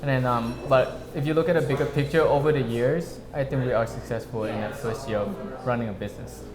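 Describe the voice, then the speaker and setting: A man's voice: medium pitch, fairly quick. Thai-born man in an echoey crowd. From Thailand. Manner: loose, rambling, non-native phrasing. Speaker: restaurant co-owner. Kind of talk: interview. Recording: echoey room with crowd noise.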